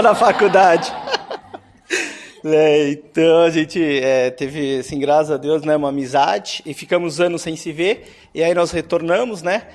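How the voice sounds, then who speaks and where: Man, slightly high voice, chatty, friendly self-introduction. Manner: chatty, tags sentences with "né". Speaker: English teacher. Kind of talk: introducing himself at a meeting. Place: meeting room with a group.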